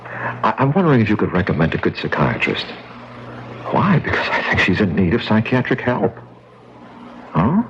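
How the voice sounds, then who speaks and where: An actor playing an earnest, concerned fiancé in a radio mystery, with a dramatic manner. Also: male voice, lowish voice, huge pitch swings, moderate pace, long pauses, a little hiss or hum. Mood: worried. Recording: dull lo-fi radio with music.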